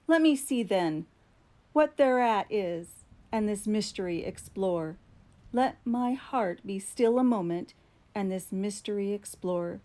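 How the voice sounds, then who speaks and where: A woman's voice: mid-range voice, animated, takes it slowly, mellow. A woman voicing a grieving, nervous scholar alone at midnight in a theatrical, emphatic poetry reading. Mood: anxious resolve. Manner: theatrical, emphatic, swooping upward.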